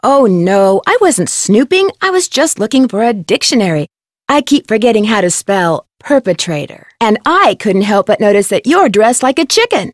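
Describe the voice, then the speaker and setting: A woman's voice: mid-range voice, sing-song, normal speed, no room sound, clean studio sound, lightly acted. A woman voicing a plucky, curious young woman detective in scripted lines for an adventure game. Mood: cheeky, upbeat.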